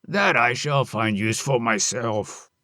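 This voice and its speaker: A storyteller voicing a brutal, greedy male highway robber in a bullying, shouting manner. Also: lively intonation, measured pace, dry recording, studio quality. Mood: grudging.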